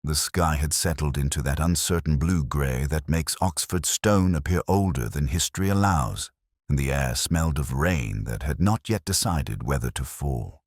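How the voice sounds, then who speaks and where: A man playing a brooding older English police detective, narrating a mystery drama in a measured, solemn, literary and reflective manner. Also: very deep voice, breathy edge, dry recording, studio quality. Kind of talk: scripted first-person mystery narration.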